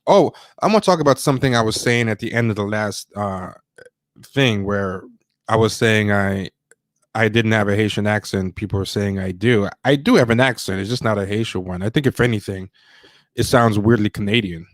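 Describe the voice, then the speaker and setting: A man with a fairly low voice hosts a casual livestream. He talks through news commentary loosely, stopping, restarting and wandering between points. The sound is dry, with very little room echo. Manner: rambling, halting, self-interrupting. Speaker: livestream host.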